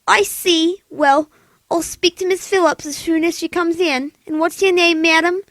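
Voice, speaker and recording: A woman's voice: extremely high pitch, steady tone, normal speed, a bit bright, dry recording. An actress playing a polite, officious hospital switchboard clerk in a radio thriller, formal and detached. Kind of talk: old-time radio thriller acting.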